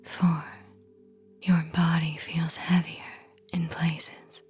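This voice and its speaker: A woman guiding a whispered ASMR sleep meditation in a soothing, hypnotic manner, speaking in short phrases with long pauses. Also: low-pitched, level tone, a little mellow, soft background music.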